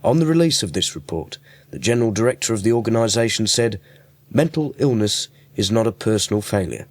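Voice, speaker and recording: A man's voice: fairly low pitch, lively intonation, brisk, dry recording. A man, reading scripted links and questions as a radio presenter.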